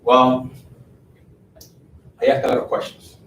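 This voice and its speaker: A man's voice: slow, a bit bright, roomy sound, far from the mic, slight background noise, low-quality recording. A man with a Spanish accent, a sales agent who answers questions in a meeting in a hesitant way, with many fillers.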